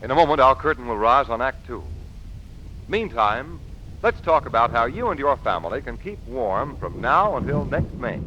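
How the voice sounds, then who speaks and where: A man's voice: mid-range voice, sing-song, moderate pace. A man announcing in the style of a 1930s radio advertisement. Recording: muffled old radio recording, faint noise.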